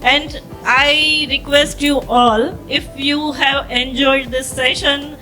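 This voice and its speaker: A woman moderates a panel discussion slowly, with a bright, high voice, pausing and repeating her words as she goes. Faint music sits underneath. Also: steady tone, poor audio. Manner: halting, repeats words, fillers. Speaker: workshop moderator.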